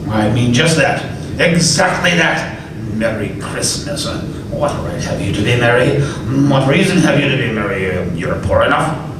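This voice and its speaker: A man playing a miserly, bitter old moneylender in a live radio play, gruff and scornful. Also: medium pitch, expressive, moderate pace, very echoey, distant mic, background noise, close to an everyday voice.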